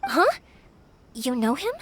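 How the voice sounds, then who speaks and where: A woman voicing a bubbly, kind young fireworks maker in an anime-style game, friendly and expressive. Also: sits high, slow, slight background noise, heavily acted. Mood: hesitant. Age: young adult.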